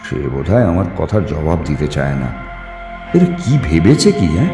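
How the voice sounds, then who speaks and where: Low male narrator doing a spooky story, theatrical n suspenseful, music behind, lots of reverb. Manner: theatrical, suspenseful, deliberate phrasing. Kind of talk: dramatic first-person ghost story reading. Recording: echoing reverb, music underneath.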